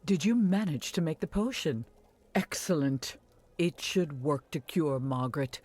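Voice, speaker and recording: An actress playing an older village wise woman in a video game, caring and earnest, giving measured instructions. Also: low-pitched, lively intonation, darkish tone, breathy edge, heavily acted. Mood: pleased. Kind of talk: scripted video game dialogue. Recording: music behind the voice.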